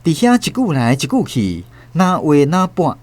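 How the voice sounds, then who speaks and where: A man's voice: a little high, wildly swooping pitch, normal speed, darkish tone, no echo. A man with a Taiwanese accent narrating a radio drama in a dramatized storytelling style.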